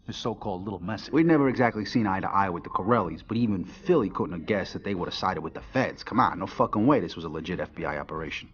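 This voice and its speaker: A man's voice: low voice, expressive, fast talker, hardly any pauses, dull audio. An actor playing a world-weary, wisecracking American mob enforcer, telling his story in a casual, tough, profane hardboiled narration. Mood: wry, matter-of-fact.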